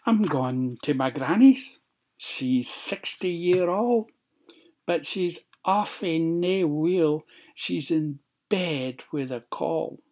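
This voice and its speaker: A wee Scottish girl from a fairy tale, acted out by a storyteller. She is innocent, polite and chatty, speaking slowly in a slightly raised voice. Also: mid-range voice, animated, darkish tone, voice pushed up. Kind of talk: storybook character voice.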